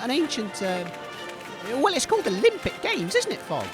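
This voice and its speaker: A British man playing a cheerful, naive radio host, earnest and excitable, giving mock event commentary in an audio comedy. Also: very high voice, normal speed, music in the background, exaggerated voice. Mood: cheery commentary. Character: cheerful naive male radio host, gently chiding his co-host.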